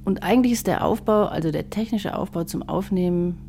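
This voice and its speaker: A woman's voice: medium pitch, fairly quick, mellow, a little hiss or hum. A woman composer explaining her working methods in detail, in a reflective interview style. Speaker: composer and radio drama maker.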